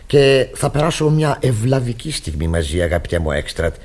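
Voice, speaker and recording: An actor playing a pompous, naive middle-aged pastor in a radio play, effusive and easily flustered. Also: male voice, medium pitch, very animated, a little mellow. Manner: effusive, sermonizing, stammering when flustered. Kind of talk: radio theatre acting.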